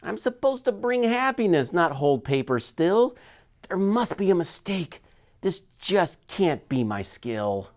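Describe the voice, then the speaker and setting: A man voicing a small, earnest stone in a children's storybook, expressive and longing to bring happiness. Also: high-pitched, unhurried, pitched above the performer's own voice, heavily acted. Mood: protesting.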